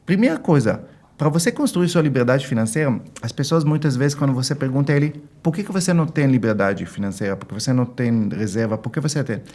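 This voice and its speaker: A man's voice: medium pitch, expressive, quick pace, darkish tone. Israeli-accented man explaining emphatically. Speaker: guest financial consultant. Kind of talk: explanatory interview talk with anecdotes.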